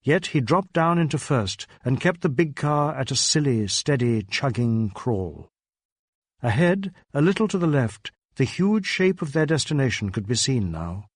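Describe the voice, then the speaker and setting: A male audiobook narrator with a medium-pitched voice reads crime fiction in a measured, suspenseful way. The sound is dry, with very little room in it. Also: sing-song, no room sound. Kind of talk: scripted narration of a crime novel.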